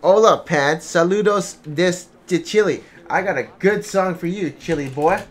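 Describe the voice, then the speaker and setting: A man's voice: high voice, moderate pace. A young man who streams games, chatting casually with blunt, profane banter. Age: young adult. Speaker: game streamer. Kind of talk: casual gaming chat. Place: indoor room at a desk. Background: music, game.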